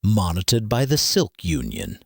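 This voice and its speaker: A man acts out a pompous master detective in a mystery drama, his voice low and husky. He speaks slowly and formally, with deliberate diction and lively swings of pitch. He is coolly precise and commanding. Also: dry recording, studio quality, full character voice. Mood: imperious. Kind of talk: scripted mystery audio drama.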